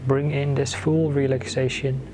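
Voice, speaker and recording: A man leading a guided meditation in a soothing manner, giving gentle instructions in short phrases with long pauses between them. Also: medium pitch, level tone. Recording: clear background noise, ambient sound.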